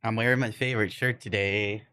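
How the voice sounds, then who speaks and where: Low-pitched man chatting casually on a livestream. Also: fairly even intonation, brisk, bright, forceful tone, smooth. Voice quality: cannot roll R's. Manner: rambling, searching for words. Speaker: game livestreamer.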